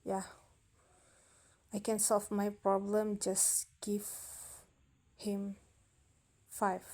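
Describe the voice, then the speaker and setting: Indonesian girl vlogging in english, super slow, searches for words a lot, soft mellow tone. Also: medium pitch, completely flat delivery, vocal fry. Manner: halting, searching for words, many fillers and restarts. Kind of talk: casual storytelling to camera.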